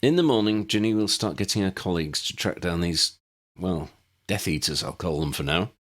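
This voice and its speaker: A man's voice: deep voice, lively intonation, normal speed, fairly bright tone, pitched above the performer's own voice. A man voicing a serious, cautious young male wizard leader, firm and decisive, in an audiobook.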